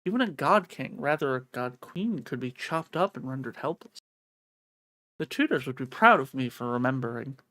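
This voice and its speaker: A woman's voice: a bass voice, expressive, normal speed, fairly bright tone, no room sound. A woman streamer reading a visual novel aloud in a theatrical storytelling manner.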